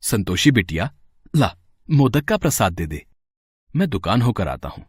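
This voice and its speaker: A man playing a humble, kind-hearted, devout father in a devotional storybook tale, gentle and reverent. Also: lowish voice, sing-song, moderate pace, husky, no echo, clean studio sound.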